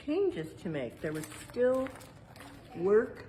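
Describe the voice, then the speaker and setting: A woman with a medium-pitched voice reads aloud in the style of a museum educator at storytime. She breaks off into plain, casual explanations and often checks in with short tag questions. The recording is made indoors, with faint but steady room noise behind her. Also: takes it slowly. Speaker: museum educator reading a picture book aloud.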